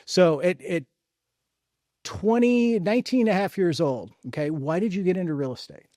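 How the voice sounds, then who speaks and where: Male podcast host, slightly high voice, dry recording, encouraging conversational interviewer who repeats for emphasis. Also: normal speed, a little mellow, no echo.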